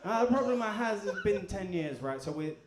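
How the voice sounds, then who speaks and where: Stand-up comic in an echoey club. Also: male voice, high-pitched, brisk, background noise. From England. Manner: deadpan, crude punchlines with crowd banter. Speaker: stand-up comedian. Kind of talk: stand-up comedy set. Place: comedy club in front of a live audience. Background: audience laughter.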